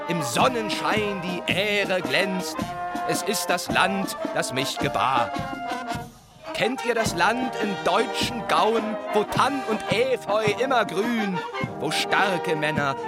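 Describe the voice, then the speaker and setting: High male voice singing a slow patriotic song.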